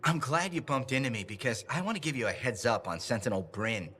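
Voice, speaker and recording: A man's voice: medium pitch, expressive, a little fast, sharp tone, slight background noise. An actor playing a chummy male gossip in a video game, chatty and rambling. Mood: chummy, gossipy. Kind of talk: video game dialogue acting.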